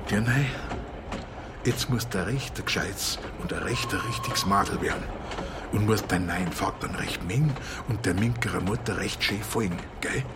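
An old peasant grandfather played by a man speaks in a homely Bavarian dialect. He is kindly and deliberate, in a radio play recording with noticeable noisy ambience behind him. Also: on the low side, lightly acted. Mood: gently instructing. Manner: homely dialect speech, unhurried.

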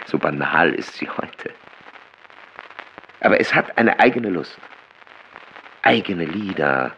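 Gravelly, weary war returnee. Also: male voice, lowish voice, a bit bright, exaggerated voice. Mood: wry, melancholic. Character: war-worn homecoming prisoner of war, man, sober and weary. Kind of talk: literary radio play monologue. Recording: dull sound with clear background noise.

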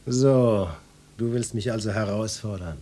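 An actor playing a brusque, swaggering male police inspector in a radio drama, gruff and bossy as he questions people. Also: lowish voice, a little slow, warm tone. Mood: musing.

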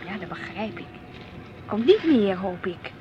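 A woman's voice: mid-range voice, sing-song, unhurried, highs cut off, background noise. An actress playing a fussy, indecisive, easily embarrassed woman in a naturalistic radio play. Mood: embarrassed, chiding. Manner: hesitant, mildly complaining, chiding.

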